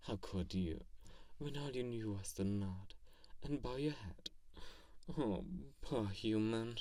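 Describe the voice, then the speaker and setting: A man playing an ancient, teasing yet soothing vampire in an intimate ASMR roleplay, speaking in slow phrases with hushed touches. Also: fairly low pitch, no echo, recorded from a distance, lightly acted. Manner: slow pauses, soft chuckles, drops into whispers. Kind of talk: ASMR roleplay, intimate one-sided comfort monologue.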